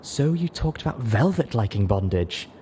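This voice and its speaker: A man's voice: medium pitch, very animated, normal speed, dark tone, slight background noise, lightly acted. A man voicing an affectionate, playfully seductive female unicorn with a coy, teasing manner, in an audiobook story. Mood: sly, teasing.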